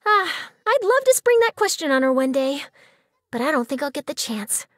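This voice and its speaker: A bubbly, determined young newspaper reporter from a video game. Her voice sits high and swings widely with every emphatic, nosy turn of phrase. The sound is dry and close, with a chatty, theatrical delivery. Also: very animated, moderate pace, no echo. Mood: intrigued, determined. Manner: perky, dramatic, emphatic, chatty. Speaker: game character voice actor. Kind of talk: animated scripted game character voice lines.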